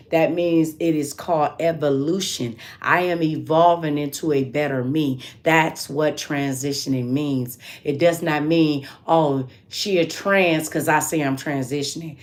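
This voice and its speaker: A raspy-voiced woman hosting a live stream, talking bluntly and preachily. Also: low-pitched. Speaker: livestream host.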